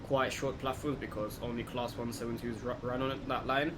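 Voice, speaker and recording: A man's voice: mid-range voice, fairly quick. A man giving casual, unscripted let's play commentary, thinking aloud as he goes. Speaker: gaming video host. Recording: clear steady background noise, air-conditioning-like hum.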